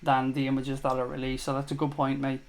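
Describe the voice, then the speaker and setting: A man's voice: mid-range voice, completely flat delivery, quick pace. A man hosting a live fan chat show, reading viewer comments aloud and answering them in a blunt, jokey, sweary way.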